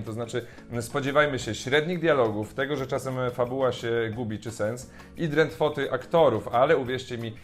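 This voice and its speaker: A young man presenting a short culture news segment in a casual, upbeat manner from a plain indoor room. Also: medium pitch. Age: young adult.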